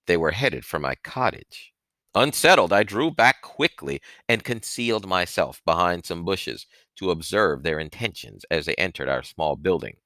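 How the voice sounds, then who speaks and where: A man reading a novel aloud as expressive first-person narration, with deliberate, dramatic phrasing and emphatic stresses, close on a headset mic. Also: low voice, no echo. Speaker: audiobook reader, book-reading host.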